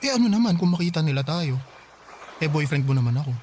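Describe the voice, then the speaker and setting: A man's voice: a little high, expressive, fast, a little mellow, slight background noise, natural voice. An actor playing an eager, impatient teenage boy courting a girl in a Filipino radio drama, with a Filipino accent. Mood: pleading.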